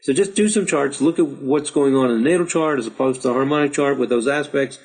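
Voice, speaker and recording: A middle-aged man who is losing his voice, patiently walking learners through a software chart tutorial and urging them to practice. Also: medium pitch, quick pace, low-quality recording. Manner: patient, repetitive, encouraging. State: slightly hoarse, losing his voice. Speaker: astrology teacher. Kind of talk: screen-share software tutorial.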